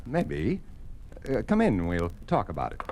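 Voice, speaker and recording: An actor playing a kindly older clergyman in a 1950s radio drama, speaking in a measured, gentle, fatherly way. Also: low voice, wildly swooping pitch. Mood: guarded, genial. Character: kindly older clergyman posing as a village carpenter. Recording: noisy old 1950s radio recording.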